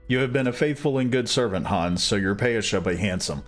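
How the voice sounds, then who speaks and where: A pleased, generous master from an old fairy tale, voiced by a man reading aloud. He speaks briskly in a low voice, with soft music underneath. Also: animated, lightly acted.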